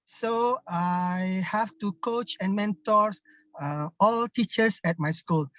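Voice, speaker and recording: A man's voice: high-pitched, slow pace, a bit bright, no room sound. A man from Malaysia who teaches primary school, introducing himself on a video call. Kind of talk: online meeting self-introduction. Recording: dull lo-fi call audio.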